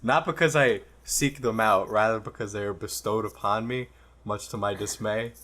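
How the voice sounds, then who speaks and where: A man's voice: lowish voice, moderate pace, fairly bright tone. A young man from Canada co-hosting a casual podcast, joking around and often laughing as he talks. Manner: joking, contrarian, laughs while talking. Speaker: podcast co-host. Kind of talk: casual chat.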